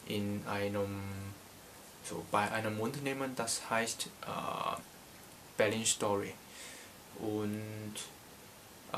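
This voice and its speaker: A man's voice: fairly low pitch, a bit bright, slight background noise. A young man from Hong Kong, a native Cantonese speaker, giving a casual language lesson in a small bedroom, talking with many hesitations into a camera in front of him. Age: young adult. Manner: slow, halting, many hesitations. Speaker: language teacher. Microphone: camera in front at desk distance.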